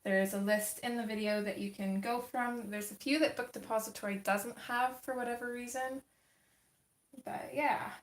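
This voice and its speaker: A woman's voice: a little high, fairly even intonation, moderate pace. Chatty woman livestreaming in an echoey room. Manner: chatty, reads and answers chat comments. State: slightly gravelly morning voice. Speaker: livestream host. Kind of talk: casual livestream chat while coloring.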